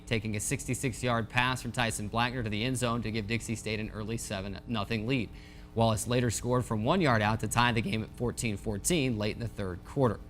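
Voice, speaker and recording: Male sports show host, scripted recap read, mid-pitched, light music bed underneath. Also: a bit bright.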